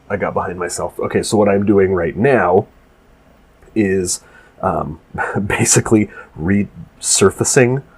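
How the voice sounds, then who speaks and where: Low husky guy, stuffy nose, rambly talking over his build footage. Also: darkish tone. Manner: rambling, full of restarts and fillers. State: congested from allergies, nasal. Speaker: maker explaining his prop build. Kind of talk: casual voice-over narration of a build video.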